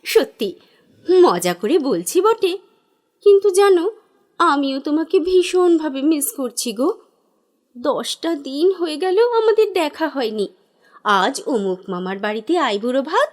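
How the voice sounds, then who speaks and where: A woman playing an affectionate, anxious young bride-to-be in a Bengali audio drama, speaking in a theatrical, expressive way. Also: high-pitched, unhurried. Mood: playful, loving. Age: young adult. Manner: theatrical, expressive, hesitant repetitions when alarmed.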